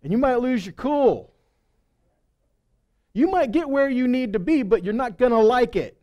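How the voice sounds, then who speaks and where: A man's voice: very high-pitched, expressive, normal speed, dull audio. A man in his forties preaching in a folksy, conversational and emphatic way on a headset mic, moving between casual storytelling and scripture reading. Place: indoors on a stage at a congregation hall. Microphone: headset microphone.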